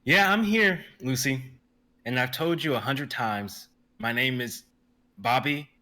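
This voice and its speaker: Actor playing a gruff young repairman, loud, slow, sharp tone, lively pitch. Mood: brash. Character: brash young male repairman. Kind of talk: live-streamed script reading.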